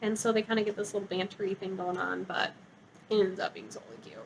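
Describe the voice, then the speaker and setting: A woman's voice: mid-range voice, level tone, quick pace, creaky, slight background noise, poor audio. A woman book vlogger talking casually and informally to her viewers, gushing and saying "like" often. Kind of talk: casual chatty book review to camera.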